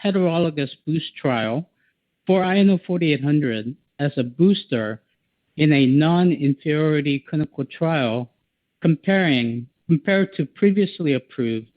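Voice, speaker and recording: Over a tinny, narrow phone connection, a man with a slightly high voice reads a prepared corporate statement. He is an executive addressing an earnings call, formal and measured throughout. The sound is dry, with no room around it. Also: no room sound.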